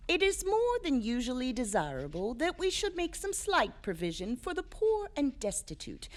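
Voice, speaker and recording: An actress playing an earnest, proper charity lady in an audio drama, formal and emphatic. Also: fairly high pitch. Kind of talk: audio theatre acting.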